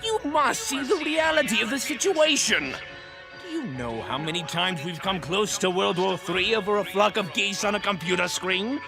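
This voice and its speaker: An actor playing a manic, theatrical supervillain clown in a comic dub, unhinged and swinging between shouts and mutters. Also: male voice, sits high, animated, moderate pace, vocal fry, over music. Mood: manic ranting. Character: manic, theatrical middle-aged male supervillain clown madman.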